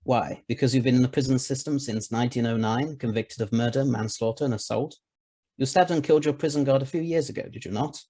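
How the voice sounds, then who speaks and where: Man playing a courteous, husky-voiced investigator. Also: medium pitch, fast, mellow, natural voice. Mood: polite, probing. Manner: formal, polite questioning. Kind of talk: audio drama acting.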